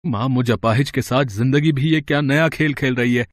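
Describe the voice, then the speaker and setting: A man's voice: mid-range voice, normal speed, dry recording, clean studio sound, lightly acted. A man voicing a bitter, despairing young husband in an audio story, speaking with dramatic reproach.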